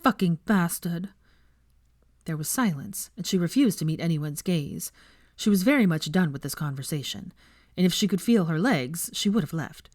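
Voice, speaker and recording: A woman's voice: sits low, animated, moderate pace, a little mellow, no room sound, clean studio sound. A woman reading scripted story narration as an audiobook narrator. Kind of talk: scripted narration of fan fiction.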